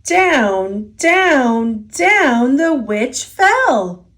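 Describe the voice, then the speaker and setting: A woman reading a children's picture book aloud as a storyteller, expressive and deliberate, drawing out key words. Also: sits high. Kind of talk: children's story read-aloud, scripted narration.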